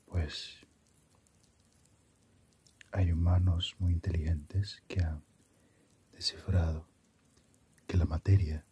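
Casting: male performer as calm, philosophical Death for ASMR roleplay; very low, husky, breathy half-whisper, gentle and musing. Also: heavily acted. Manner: unhurried, musing, asks reflective questions.

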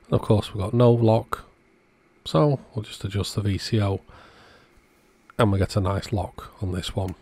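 Low-voiced man narrating repairs. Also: steady tone, normal speed, a little mellow, husky. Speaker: electronics repair video host. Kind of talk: casual workbench repair narration.

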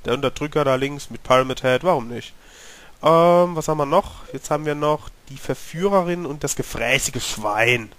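A man's voice: a little high, normal speed, fairly bright tone. German man with a cold narrating gameplay. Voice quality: slightly hoarse. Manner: chatty, talks to himself and the game character. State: has a cold, clearing his throat. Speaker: let's play gamer. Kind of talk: casual gameplay commentary.

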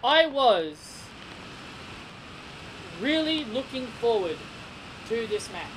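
A young man giving a casual wrestling review from his bedroom, excitable and repeating himself with stammering restarts, recorded on a desk webcam. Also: very high voice, slow. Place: small bedroom. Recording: noisy home webcam recording.

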